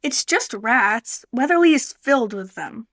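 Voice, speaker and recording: A very high, plucky young girl, acted by a woman for a radio play. She is encouraging and bold, an orphan on the run, heard in a dry recording. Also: animated, unhurried, a bit bright, dry recording, heavily acted. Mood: reassuring.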